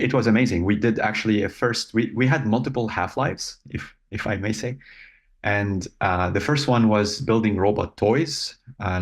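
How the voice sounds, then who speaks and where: A man talks in a measured, thoughtful way, pausing often as he gathers his words. His voice sits on the low side with a gravelly, creaky edge. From Lebanon. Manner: measured, thoughtful, with frequent filler pauses. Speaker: guest, robotics company founder. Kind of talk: casual interview talk.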